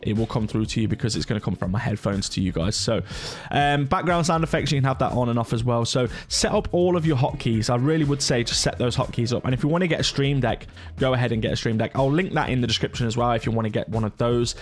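A man's voice: medium pitch, fast. A middle-aged man talking informally through a casual tutorial, in the manner of a gaming content creator. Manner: chatty, informal.

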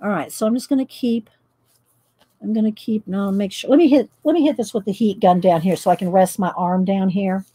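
A woman, chatting loudly while she paints and tells stories on her coloring livestream, laughing often and answering viewers. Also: medium pitch, a little fast, slight background noise. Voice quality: loud voice. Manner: chatty storyteller, laughs often, reads live chat. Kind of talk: casual chat while painting. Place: home craft table, indoors.

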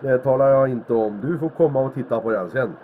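An actor playing an easygoing, secretive crewman talking over a radio in an audio drama. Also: mid-range voice. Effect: radio transmission filter. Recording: tinny band-limited radio link, faint noise.

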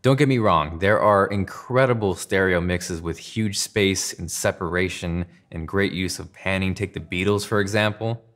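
A man's voice: low-pitched, normal speed, hardly any pauses, a bit bright. A man in his thirties with an American accent, hosting a scripted tech explainer. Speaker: tech reviewer and video host. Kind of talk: scripted explainer narration to camera.

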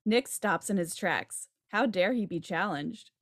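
A woman's voice: medium pitch, animated, a little slow, no room sound, clean studio sound. A woman reading stage directions as scripted narration for a table read. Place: home room, video call.

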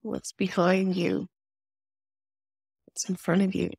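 A woman leading a guided meditation, giving soothing, unhurried instructions with pauses between phrases. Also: medium pitch, level tone, gravelly, darkish tone, husky, dry recording.

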